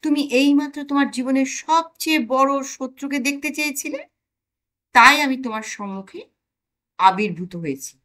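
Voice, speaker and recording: A woman's voice: high voice, normal speed, fairly bright tone. A woman voicing a stunted, grimy personified conscience from a comic story, accusing, stubborn and self-pitying. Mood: defiant.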